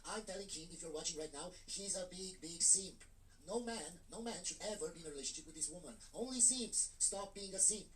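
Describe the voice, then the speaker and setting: A man's voice: high voice, a little fast, recorded from a distance, noisy background. A man from Romania with an Eastern European accent, hosting a reaction stream with blunt, mocking commentary. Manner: blunt, mocking, repeats his points. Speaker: streamer host.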